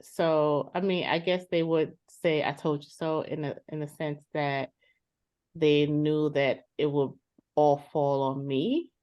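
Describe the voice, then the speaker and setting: A woman playing a soft-spoken weary older sister. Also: sits low, flat delivery, slow pace, muffled recording. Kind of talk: improvised dramatized therapy session.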